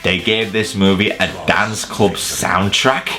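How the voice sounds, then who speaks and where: A man's voice: on the low side, fairly even intonation, takes it slowly. A man presenting an opinionated scripted video review in a blunt, wry, sweary way. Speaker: video reviewer presenting a top ten list.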